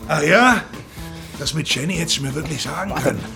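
Gravelly sarcastic boss, slightly high for a man, fake concern, menacing, radio play. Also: animated, fairly quick, music in the background. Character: sarcastic, needling male shop boss, quietly menacing. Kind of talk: radio crime drama acting.

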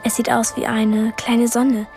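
A young girl telling her own thoughts in a radio play. Her fairly high voice is intense and breathless, over soft music. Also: little pitch movement, measured pace. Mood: hushed wonder. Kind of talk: first-person radio drama narration.

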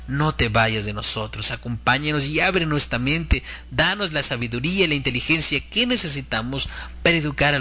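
A man's voice: mid-range voice, sharp tone. A man speaking as a religious host and preacher, in a didactic devotional style addressed to his listeners. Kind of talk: devotional teaching talk and prayer.

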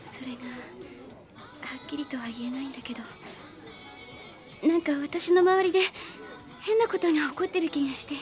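Woman as anxious soft-spoken young woman, radio drama. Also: high-pitched, brisk, pauses often, soft background music, close to an everyday voice. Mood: uneasy. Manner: soft, hesitant.

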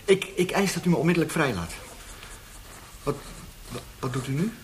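A man's voice: on the high side, expressive, moderate pace, slight background noise, close to an everyday voice. An actor in a radio drama playing a frightened, innocent bank clerk under arrest, stammering and breathless as he protests. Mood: anxious protest.

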